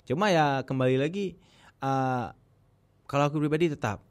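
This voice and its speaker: A man's voice: medium pitch, normal speed. A young man from Aceh with an Acehnese accent, talking earnestly as a podcast guest and repeating himself for emphasis. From Aceh, Indonesia. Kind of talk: casual podcast conversation.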